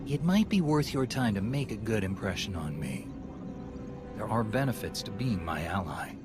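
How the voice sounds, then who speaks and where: A man playing a deep-voiced, earnest commander. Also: animated, normal speed, lightly acted. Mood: diplomatic. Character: calm, principled male military commander and Jedi. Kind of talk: video game cutscene dialogue. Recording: background music.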